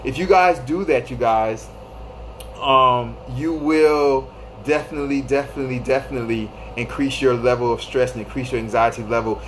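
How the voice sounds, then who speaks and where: Brief: male live-stream host, thirties, mid-pitched and easygoing, repeats himself, faint hiss behind. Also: normal speed, rough recording. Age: 30s. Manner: loose and repetitive, greeting viewers by name. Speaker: livestream host. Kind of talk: casual live-stream advice talk.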